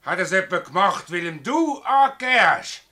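A hot-headed Swiss village farmer in a dialect radio play. His voice is pitched high, very tense and sharp, and leaps about in pitch. He blusters and curses with bitter indignation. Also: big pitch swings, normal speed, full character voice. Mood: furious. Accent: Swiss German. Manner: blustering, exclamatory, cursing. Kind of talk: dialect radio drama acting.

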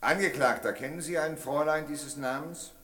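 An actor playing a stern judge questioning witnesses in a 1960s radio play. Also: male voice, a little high, fairly bright tone, roomy sound, lightly acted. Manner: formal, measured, authoritative. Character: stern middle-aged male judge.

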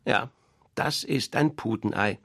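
A man's voice: lowish voice, a little slow, fairly bright tone, dry recording, clean studio sound. A man reading a fairy tale aloud, voicing an old, know-it-all visiting duck who gives advice. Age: older.

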